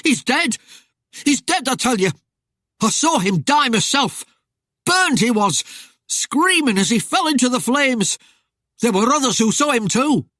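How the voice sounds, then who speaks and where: A woman voicing a frightened, blustering rustic man who bellows in dialect with a high, squeaky croak. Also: expressive, normal speed, fairly bright tone, dry recording, clean studio sound. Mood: terrified, pleading. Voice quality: squeaky croak when afraid, high-pitched.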